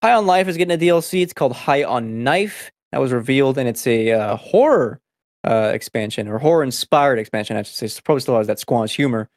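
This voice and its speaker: A man who grew up speaking Spanish first, hosting a casual podcast and rattling off news items in quick succession. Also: medium pitch, expressive, no room sound, studio quality. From United States. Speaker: podcast host.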